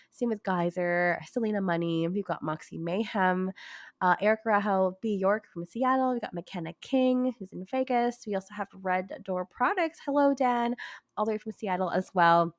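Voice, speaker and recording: A woman with a hoarse, raspy voice from a cold chats brightly through a podcast intro, bubbly and full of fillers. She is close on a dry mic with no room sound behind her. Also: mid-range voice, no room sound. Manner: bubbly and chatty, many fillers. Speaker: podcast host. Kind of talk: casual podcast chat.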